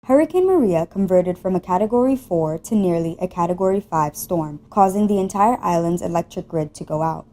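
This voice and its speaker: A woman's voice: on the low side, expressive, brisk, hardly any pauses, dark tone. A young adult woman delivering scripted news narration as a student television reporter.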